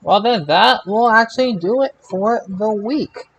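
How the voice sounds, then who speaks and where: A man's voice: high-pitched, measured pace, fairly bright tone, highs cut off. A man hosting a podcast in a casual style, with faint background noise. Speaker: podcast host.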